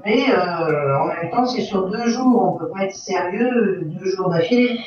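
A woman talks casually in an interview, pausing and restarting as she looks for words. The recording is echoey and dull, with faint music underneath. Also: on the low side, expressive. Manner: halting, searches for words. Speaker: interviewee, club event organiser.

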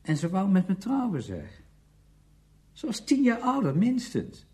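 An actor playing a defensive, self-excusing bachelor in a radio drama, talking in a hesitant but emphatic conversational way. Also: male voice, high voice, huge pitch swings, a little slow, darkish tone, full character voice.

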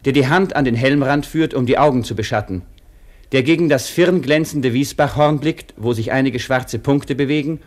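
An actor as a radio play announcer, narrating scripted documentary-like text in a formal, declarative way. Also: male voice, medium pitch, moderate pace, close to an everyday voice.

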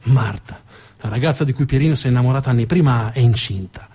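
A man delivering a dramatic narrative theatre monologue with emphatic, deliberate phrasing. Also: medium pitch, mellow, slightly rough. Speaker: stage storyteller, actor.

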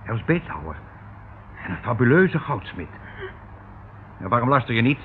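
A man's voice: medium pitch, sing-song, normal speed, phone-quality sound, close to an everyday voice. A thin, hissy radio-play recording carries a male actor as a middle-aged uncle, pompous and falsely doting. His voice swoops theatrically as he rambles and patronises, slippery and evasive throughout. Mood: boastful, wistful. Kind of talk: Dutch radio play acting.

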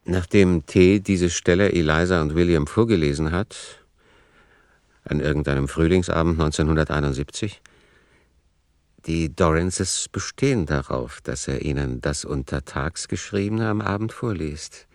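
A man narrating a literary radio play in a measured, matter-of-fact, sober way. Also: a bass voice, darkish tone, dry recording, studio quality.

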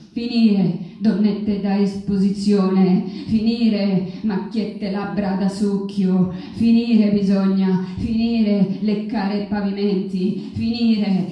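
Defiant doomed young heroine played by a woman, slow poetic stage declamation, incantatory, heard from far off over live guitar. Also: mid-range voice, natural voice. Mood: furious, urging. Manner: incantatory, repetitive, declaiming in short broken phrases. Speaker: stage actress. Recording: distant, echoey hall, dull sound.

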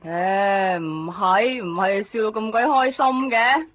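A woman's voice: mid-range voice, slow, natural voice. Woman acting a chatty, teasing young friend. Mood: bubbly, teasing. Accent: Hong Kong Cantonese. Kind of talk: 1990s Hong Kong radio drama acting. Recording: dull 1990s radio recording, some music.

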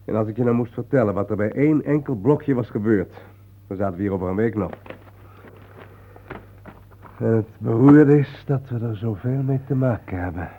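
Actor as calm war-haunted man, low voice, measured and cryptic, radio drama, thin phone-like noisy sound. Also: close to an everyday voice. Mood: cryptic, patient. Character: calm, enigmatic male war-haunted guide, sparing with words. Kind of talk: Dutch radio play acting.

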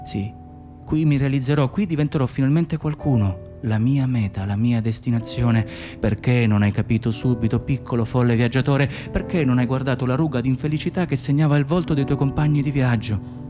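A persistent, wistful wanderer played by a male actor in a radio drama. He is insistent and theatrical, circling back on his own phrases in a mid-pitched voice, with music in the recording. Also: brisk, close to an everyday voice. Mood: fervent, bitter. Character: persistent, wistful male stranger musing on travel and home. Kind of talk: Italian radio play acting.

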